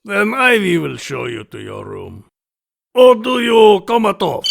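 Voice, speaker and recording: A man playing a suave, menacing gentleman host in an audio drama, with a gravelly voice and formal, theatrical manner. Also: sits high, wildly swooping pitch, measured pace, full character voice. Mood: courtly, theatrical.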